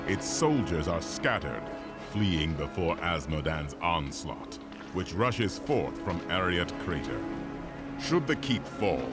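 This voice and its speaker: Deep-voiced, solemn angelic warrior. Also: male voice. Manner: grave, authoritative, measured. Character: solemn, commanding male fallen angel warrior. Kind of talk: dramatic video game acting. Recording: loud music behind the voice.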